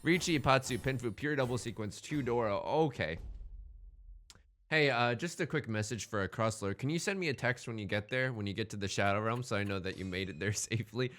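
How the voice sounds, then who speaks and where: A man's voice: medium pitch, brisk, fairly bright tone. A man hosting a gaming series with casual, jokey commentary, often stammering and repeating words as he rambles. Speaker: host of a gaming Let's Play series. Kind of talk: casual game commentary with joking banter.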